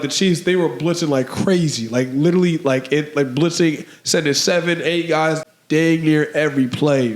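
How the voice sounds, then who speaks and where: Hoarse man in casual sports chat. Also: on the high side, echoey room. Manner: rambling, filler-heavy, says "man" and "bro". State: hoarse from allergies. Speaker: podcast co-host.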